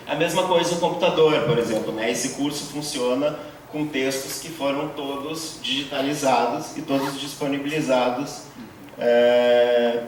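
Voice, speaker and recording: A man lectures to a class, working through concepts patiently and tagging his points with little checks for agreement. He sits far from the microphone in a very reverberant room. A faint room hum runs underneath. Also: mid-range voice. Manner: many fillers and tag questions, explanatory. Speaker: course instructor. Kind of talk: university lecture. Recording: very echoey, distant, faint room noise.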